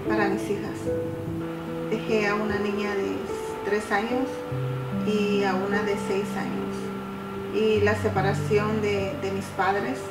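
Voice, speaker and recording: A middle-aged mother speaks in a flat, measured way. She is recorded from a distance in an echoey room, with loud music playing behind her. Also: medium pitch. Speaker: parent interviewee.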